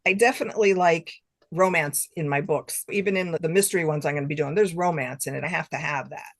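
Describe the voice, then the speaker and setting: Lower-pitched woman author, closely recorded. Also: quick pace, no echo, studio quality. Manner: informal, loosely spoken, thinking aloud. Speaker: author being interviewed. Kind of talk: casual chat.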